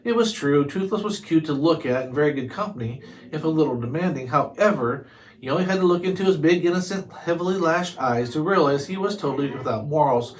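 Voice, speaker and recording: A man's voice: on the high side, expressive, a little fast, low-quality recording. A man narrating a children's chapter book aloud, sometimes stumbling over and repeating words. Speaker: storybook reader.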